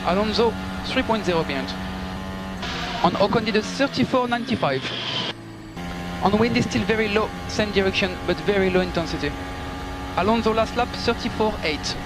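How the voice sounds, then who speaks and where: A man working as a race engineer, giving polite, clipped technical instructions and gap updates over team radio. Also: high voice. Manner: polite, instructive, reporting numbers and gaps. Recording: noisy team radio with engine and vehicle noise. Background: loud car and engine noise.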